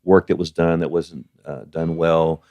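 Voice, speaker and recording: An older man giving conversational interview answers in a halting, plain-spoken way, repeating words as he goes. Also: extremely low pitch, fairly even intonation, warm tone, no echo, clean studio sound. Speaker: guest, justice of the peace.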